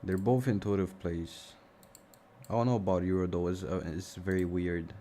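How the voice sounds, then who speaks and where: A young man with a Romanian accent talks loosely and off the cuff, thinking aloud with the odd curse. His voice sits very low. A faint noise hums behind him. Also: fairly even intonation, moderate pace, mellow. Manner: casual, thinking aloud, swears freely. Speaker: live-streaming trader. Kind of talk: casual live-stream commentary. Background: music and mouse clicks.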